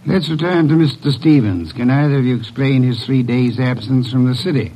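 An actor playing a shrewd, heavyset, imperious private detective with formal, precise, dryly pompous diction in a classic radio drama. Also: male voice, medium pitch, brisk, dark tone, close to an everyday voice, rough recording. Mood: cool, interrogating.

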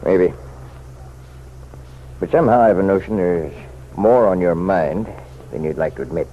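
A man's voice: low-pitched, moderate pace, close to an everyday voice. An actor in an old-time radio drama playing an insistent, folksy townsman who offers pointed, chummy advice. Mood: sly, needling. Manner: folksy, chummy, leaning and persuasive. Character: insistent, insinuating townsman, nosy self-appointed helper to the sheriff. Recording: muffled, noisy vintage radio recording.

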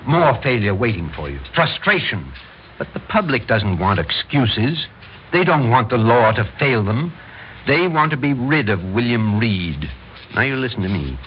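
An actor with an American accent playing a domineering, scheming middle-aged political boss, smooth and insistent, in classic radio drama style. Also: male voice, medium pitch, sing-song, a little slow. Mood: stern, insistent. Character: domineering, scheming older political power broker, the Major. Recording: muffled old radio recording, faint hiss.

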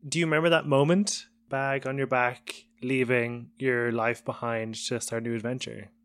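A man's voice: medium pitch, little pitch movement, normal speed. A man hosting a conversational interview, putting questions and short remarks to his guest. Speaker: interviewer, host.

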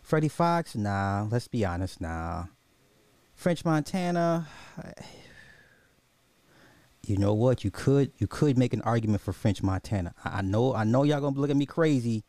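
A man's voice: mid-range voice, lively intonation, normal speed, long pauses, dark tone, no echo. A man hosting a casual, opinionated commentary podcast in slangy, informal speech, thinking aloud and often repeating his own phrases. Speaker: podcast host.

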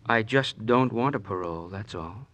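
Casting: jittery, desperate killer, evasive, sharp forceful voice, old radio drama. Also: male voice, lowish voice, lively intonation, normal speed. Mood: guarded, evasive. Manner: agitated, evasive. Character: nervous, desperate male assassin, anxious then murderous. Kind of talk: 1950s radio drama acting.

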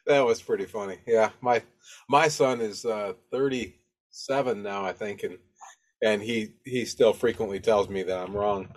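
A man's voice: fairly low pitch, fairly even intonation, a little slow. An older man hosting a casual interview, easygoing and a bit hesitant, given to chuckling. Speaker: podcast host.

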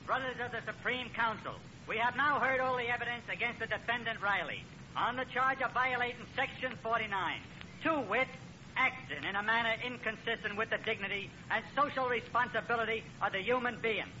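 A man's voice: very high-pitched, a little fast, pushed and bright, full character voice. An actor playing a pompous, officious lodge chairman who presides like a judge in a 1940s radio comedy. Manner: officious, mock-formal. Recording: muffled old radio recording, faint noise.

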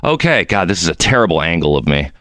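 Deep-voiced, chatty male podcast host. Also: big pitch swings, quick pace, a bit bright, no room sound, studio quality. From Mexico. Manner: chatty, jokey, rambling. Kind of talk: casual interview chat. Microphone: studio mic.

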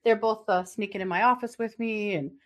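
A middle-aged woman co-hosting a podcast, her pitch sitting in an ordinary middle range. She talks in a relaxed, chatty way, full of fillers and little laughing asides, as she walks through a case. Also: brisk. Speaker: podcast co-host. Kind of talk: casual chat and true crime case telling.

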